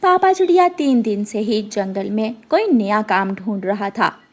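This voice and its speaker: A woman's voice: mid-range voice, lively intonation, measured pace. A woman narrating a children's cartoon story as a scripted storyteller.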